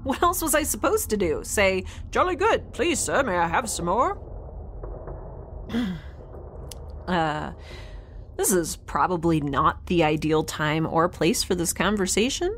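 A woman's voice: lowish voice, big pitch swings, a little slow, long pauses, a little hiss or hum. A woman acting a flustered, sardonic young scientist stranded in space, in a conversational, rambling audio-drama style. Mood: wry, defensive. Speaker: narrator and lead of a sci-fi audio drama.

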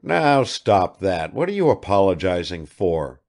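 A man's voice: low-pitched, sing-song, unhurried, a little mellow, exaggerated voice. A man reading aloud and voicing a blunt, nonchalant young man with a curt, dismissive manner.

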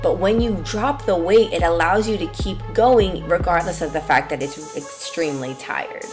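A woman in her twenties talking through an arm workout in a relaxed, upbeat, explanatory way. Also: lowish voice, normal speed. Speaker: fitness vlogger. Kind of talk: casual workout walkthrough. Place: gym. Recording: music underneath the voice.

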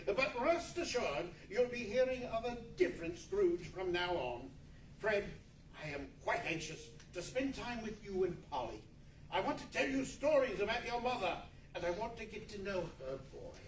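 A man performs an old miser turned generous and exuberant in a live radio play. His theatrical voice is high and very lively. It is heard from far off in an echoey meeting room. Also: normal speed, a little hiss or hum. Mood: warm, hearty. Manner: theatrical, effusive. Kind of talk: 1940s radio play acting before an audience. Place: large indoor meeting room, live stage reading. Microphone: far camera.

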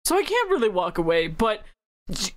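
A woman playing a flippant, rambling, easily indignant pirate in an improvised comic film dub, halting and sarcastic. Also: mid-range voice, very animated, natural voice. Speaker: improv fandub voice actor.

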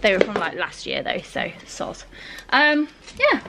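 A woman's voice: medium pitch, huge pitch swings, unhurried, vocal fry, background noise. A young English woman talking casually to her camera, chatty and upbeat with lots of fillers. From England. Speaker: vlogger. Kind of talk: casual vlog chat to camera. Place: car, then a home kitchen. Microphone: handheld camera at arm's length.

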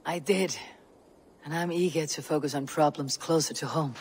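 A woman playing a stoic, weathered Viking warrior in a game, speaking in a measured, grave and formal way. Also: low-pitched, a little mellow, slight background noise, natural voice. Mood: calm. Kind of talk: dramatic game cutscene acting, storytelling.

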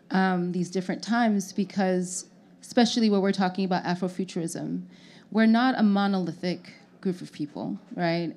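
Young woman from haiti on a panel, lowish voice, earnest, handheld mic in a lounge. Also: darkish tone. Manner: earnest, thoughtful, many small restarts. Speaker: panelist, artist. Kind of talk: panel discussion. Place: lounge venue with audience, indoors.

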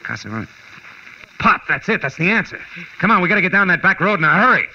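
An actor playing a wisecracking, hard-boiled American private detective, clipped and sardonic in 1940s radio noir style. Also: male voice, high-pitched, sing-song, fast, bright, forceful tone, heavily acted. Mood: dawning excitement. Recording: dull, noisy 1940s radio recording.

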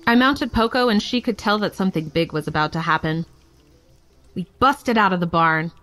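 Low-voiced, wry actress as a defiant young woman. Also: lively intonation, moderate pace, fairly bright tone, faint music behind. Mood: defiant, excited. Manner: frank, wry, casual. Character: defiant, playful young woman, an arsonist, telling her story. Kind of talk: audio drama storytelling, conversational.

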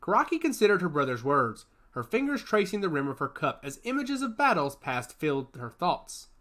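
A man reading a science fiction story aloud as a narrator, shifting his pitch up for the characters' lines. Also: very animated, moderate pace, bright, forceful tone.

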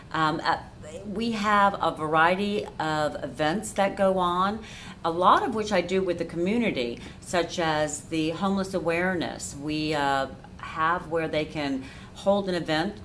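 A middle-aged woman with an American accent answers interview questions conversationally. Her voice sits low for a woman. She is recorded indoors in a cafe with some background noise. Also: a bit bright. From Florida, United States. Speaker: interview guest, cinema cafe owner. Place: inside a dim cafe theater.

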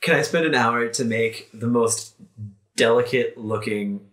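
A man's voice: medium pitch, measured pace, fairly bright tone. A man chatting casually as a player in a tabletop roleplaying game. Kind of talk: casual chat.

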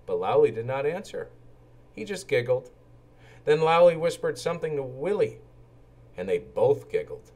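A man narrating a children's storybook in an expressive, animated way and acting out the characters' lines. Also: mid-range voice, normal speed, pauses often. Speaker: read-aloud storyteller for children.

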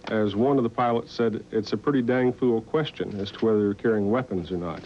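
An American man in his forties giving a blunt, deliberate press briefing from a podium. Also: fairly low pitch, a little mellow. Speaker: Pentagon spokesman. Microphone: podium mic. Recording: muffled archival tape, faint noise.

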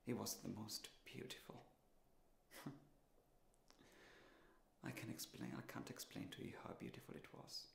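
An actor playing a despairing, insecure man in his thirties in a confessional, halting dramatic monologue. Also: lowish voice, sing-song, creaky, slight background noise, heavily acted. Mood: wistful, hushed. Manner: confessional, halting, deliberate pauses. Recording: roomy, echoing sound.

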